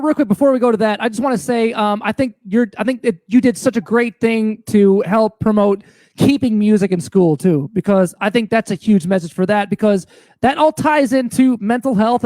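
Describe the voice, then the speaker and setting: Male host. Very high pitch, dry sound, eager and effusive, informal. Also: brisk, darkish tone, no echo. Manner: enthusiastic, gushing, slangy. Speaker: show host. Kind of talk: casual chat.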